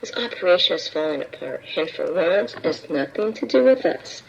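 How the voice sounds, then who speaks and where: An actress playing a tense undercover vice officer who gives a quick, clipped report in an audio drama. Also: female voice, on the low side, animated, gravelly, a little hiss or hum. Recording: muffled lo-fi radio sound.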